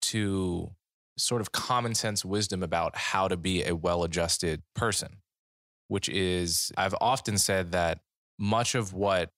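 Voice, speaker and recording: Male podcast host, very low voice, dry close sound, deliberate. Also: fairly bright tone, no room sound. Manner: measured, deliberate, reads aloud slowly. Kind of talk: interview questions.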